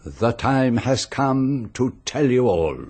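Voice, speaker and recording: A self-assured, pompous detective in a radio mystery. His husky voice swings widely in pitch as he lays out each point with formal, deliberate grandeur. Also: animated, a little mellow. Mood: grandly announcing. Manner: deliberate, formal, theatrical phrasing. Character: pompous, meticulous male private detective, theatrical and self-assured. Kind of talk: radio mystery drama acting.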